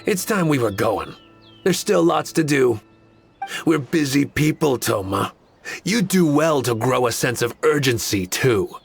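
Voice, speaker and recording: A man's voice: mid-range voice, animated, unhurried, gravelly, soft background music. An actor voicing a smug, dismissive male retainer in a video game, with a condescending manner. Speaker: game character.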